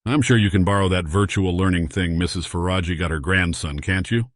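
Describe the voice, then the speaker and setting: Weary male construction boss, fifties, low and raspy, subdued, audio drama, played by a woman. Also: steady tone, brisk, no room sound, studio quality. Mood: weary, evasive. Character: weary heavy-set man in his fifties, construction company boss.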